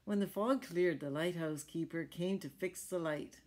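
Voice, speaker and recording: A woman's voice: on the low side, moderate pace, darkish tone. A middle-aged woman reading a picture book aloud to children, adding chatty explanations and little questions to her listeners. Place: small furnished room at home.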